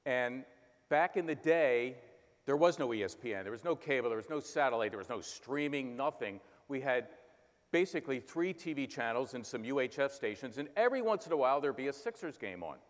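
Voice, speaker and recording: A man's voice: mid-range voice, animated, brisk. A man in his sixties from Philadelphia, a sports announcer, telling stories on stage and breaking into bits of play-by-play. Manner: storytelling with bursts of play-by-play imitation. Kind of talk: on-stage conversation. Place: large conference hall stage. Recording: hall reverb.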